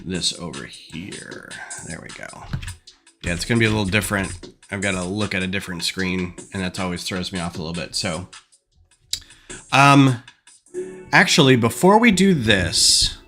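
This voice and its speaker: A man's voice: medium pitch, bright, forceful tone. Man with a stuffed-up nose streaming from his desk, rambling and thinking aloud, mid-range voice, faint music behind. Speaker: LEGO designer streaming. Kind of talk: casual livestream chat. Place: home desk. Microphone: desk microphone.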